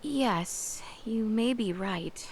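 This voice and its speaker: An actress playing an earnest, resolute young princess in a video game, speaking with formal poise. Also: female voice, a little high, extremely slow, a little mellow, a little hiss or hum, low-quality recording. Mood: earnest, hesitant. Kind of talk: video game cutscene acting.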